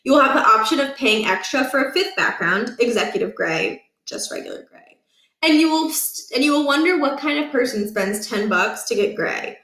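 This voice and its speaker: Higher-voiced woman storyteller in an echoey room. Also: expressive, moderate pace, sharp tone. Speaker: storyteller reading aloud. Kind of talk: expressive children's book narration.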